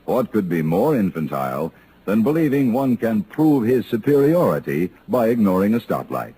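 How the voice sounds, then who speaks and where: Male public service announcer: earnest and formal, low mellow voice, faintly noisy vintage radio recording. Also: big pitch swings, moderate pace.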